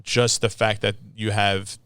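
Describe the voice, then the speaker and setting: A man's voice: fairly low pitch, flat delivery, a little slow, a bit bright, clean tone, no echo. A man hosting a podcast, interviewing casually with informal, probing questions. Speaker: podcast host.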